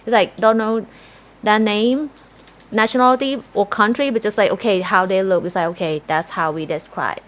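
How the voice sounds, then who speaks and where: Thai woman chatting in accented English. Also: medium pitch. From Thailand. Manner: halting, searching explanations in simple second-language English. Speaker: livestream co-host. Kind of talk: casual livestream chat.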